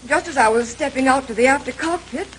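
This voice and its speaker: An actress playing an anxious, excitable wife in an old radio mystery, fretful and melodramatic. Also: female voice, sits high, fast talker, lightly acted. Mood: uneasy, explaining. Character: anxious, excitable wife fearing for her husband. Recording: noisy old radio drama recording.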